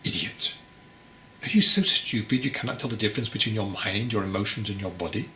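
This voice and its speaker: A man voicing a stern, patient old monk who teaches in a deliberate, commanding, admonishing way. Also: lowish voice, sharp tone. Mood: stern, impatient. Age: older. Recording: roomy echo, clear background noise.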